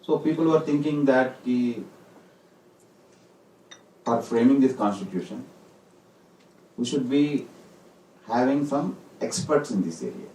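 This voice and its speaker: A man with an Indian accent giving a formal ceremonial speech as a senior executive, speaking deliberately in short, halting phrases. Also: mid-range voice, mellow. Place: corporate hall. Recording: echoey hall, distant mic, faint noise.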